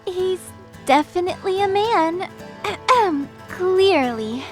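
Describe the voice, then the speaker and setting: A woman's voice: very high voice, lively intonation, slow, faint music behind, full character voice. A woman playing a playful, stubborn young sorceress in an audio drama, coy and breezy. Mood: playful, insistent.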